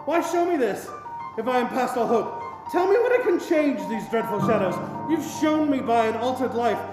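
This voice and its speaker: A man's voice: extremely high pitch, expressive, normal speed. A man playing an old miser reformed into giddy generosity in a theatrical radio play, exclaiming and repeating his words. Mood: desperate pleading. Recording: echoey reverberant hall sound, music underneath. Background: music.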